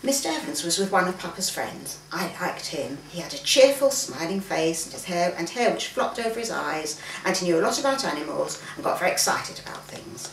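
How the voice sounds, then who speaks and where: A woman reads a story aloud with lively, varied intonation, acting out the dialogue as she goes. She is recorded at some distance in a roomy space, with faint noise behind her. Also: on the low side, brisk, creaky, few pauses. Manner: acts out the dialogue, with occasional stumbles. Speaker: author reading own fiction aloud. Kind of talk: expressive story reading from a manuscript. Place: room in a private home, live reading event.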